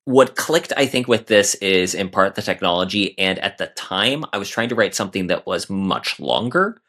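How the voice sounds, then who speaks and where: Bright, forceful low-voiced man. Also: lively intonation, normal speed. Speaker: guest author. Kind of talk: casual podcast interview about writing.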